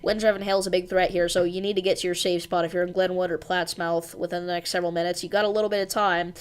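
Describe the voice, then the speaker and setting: Brisk woman reading storm warnings live. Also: on the low side, little pitch movement, clean tone. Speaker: weather livestream host. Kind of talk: live severe weather coverage.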